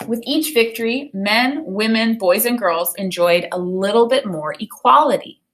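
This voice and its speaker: A woman teacher reading a picture book aloud and pausing to explain it, often checking for understanding. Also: a little high.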